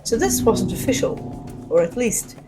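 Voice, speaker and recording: A woman playing a witty, self-assured spy and socialite, narrating her own adventure with arch, wry, theatrical pauses. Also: fairly high pitch, sing-song, over music. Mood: composed, sly. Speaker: narrator of an audio drama. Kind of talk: first-person scripted dramatic narration.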